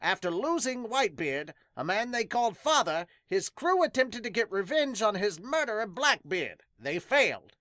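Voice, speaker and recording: A podcaster acts out a grand, theatrical announcer for a manga dub, pitched high and emphatic. The delivery is bold and showy, with animated swings, in a dry recording. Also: no room sound. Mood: expository. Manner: grandiose, emphatic, drawn-out words.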